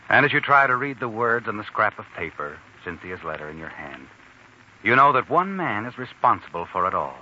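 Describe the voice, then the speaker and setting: A man's voice: lowish voice, pushed and bright, slight background noise, heavily acted. An American man playing an ominous, taunting narrator in a 1940s radio suspense drama, addressing the hero dramatically. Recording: dull 1940s radio recording, music behind.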